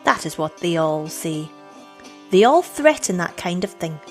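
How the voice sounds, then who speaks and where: Teasing country girl, voiced by a woman, coolly polite, offhand and disdainful, expressive intonation. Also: mid-range voice, normal speed, faint music behind, pitched above the performer's own voice. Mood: unconcerned. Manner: prim, offhand, scornful. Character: scornful village girl, cool, teasing, unconcerned.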